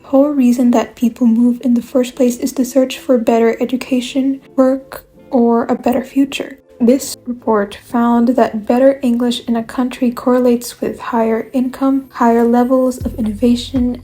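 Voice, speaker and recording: Mellow, even-toned young woman narrator. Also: fairly high pitch, soft background music. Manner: measured, even explanatory reading. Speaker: video essay host. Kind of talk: scripted narration.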